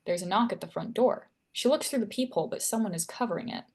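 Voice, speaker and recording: A woman's voice: mid-range voice, quick pace, a little mellow. A woman narrates a screenplay at a table read. She reads scene headings and action lines in a mid-range voice. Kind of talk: reading screenplay stage directions at a table read.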